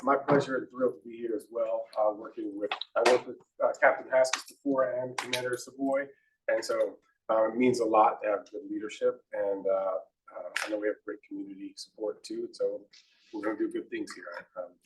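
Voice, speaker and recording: A man's voice: lowish voice, fairly even intonation, brisk, background noise. Hesitant man giving a police briefing, distant. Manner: hesitant, with many ums and restarts. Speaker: police lieutenant. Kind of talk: briefing on crime statistics. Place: community meeting room.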